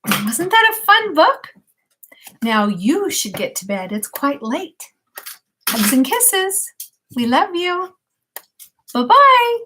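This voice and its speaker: A woman's voice: sits high, sing-song, slow pace, long pauses, bright, forceful tone, a little hiss or hum. An older woman, a grandmother, reads a rhyming picture book aloud expressively and unhurriedly, speaking directly to young children. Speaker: grandmother reading a bedtime story to children.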